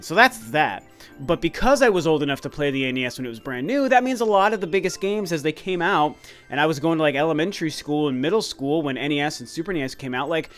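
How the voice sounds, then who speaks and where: A man's voice: a little high, fairly bright tone. A man in his late thirties hosting a video game channel, talking casually and eagerly, rambling with restarts and fillers. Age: 30s. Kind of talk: casual vlog talk.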